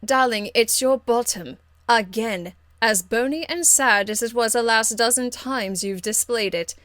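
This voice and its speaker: A woman performs an aristocratic female mage in a fantasy video game. She speaks formally and with measured condescension, icily polite, in a fairly high voice with a close, dry sound. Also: fairly bright tone, dry recording. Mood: weary disdain. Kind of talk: video game character acting.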